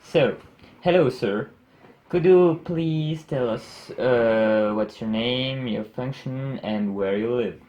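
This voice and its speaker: A man's voice: medium pitch, slow pace, a little mellow, natural voice. A young man as a field reporter, asking questions hesitantly with many fillers.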